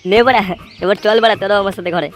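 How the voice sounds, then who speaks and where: A woman's voice: mid-range voice, fairly even intonation, very fast, faint music behind, close to an everyday voice. A woman voicing a brash, bossy, foul-mouthed young street tough in a comedy cartoon. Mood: annoyed, bossy. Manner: brash, cursing, impatient.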